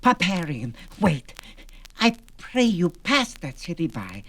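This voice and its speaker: A man's voice: high-pitched, huge pitch swings, slow, fairly bright tone, dry recording, full character voice. An actor playing a small, twisted, fearful man, a barbarian's companion who pleads anxiously in ornate 1970s fantasy audio drama style. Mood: pleading, fearful.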